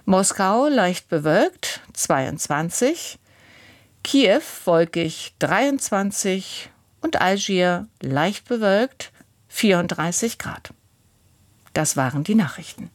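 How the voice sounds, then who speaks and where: Slow, measured female newsreader. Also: medium pitch, no room sound, studio quality. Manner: measured, even listing of cities and temperatures. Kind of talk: reading the weather report.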